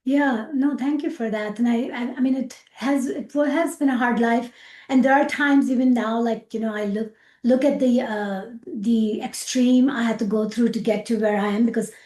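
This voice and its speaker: A woman's voice: a little high, normal speed. Woman with a Pakistani accent, echoey. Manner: animated, repeats words, frequent fillers, laughs easily. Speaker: rocket scientist guest. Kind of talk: casual interview chat.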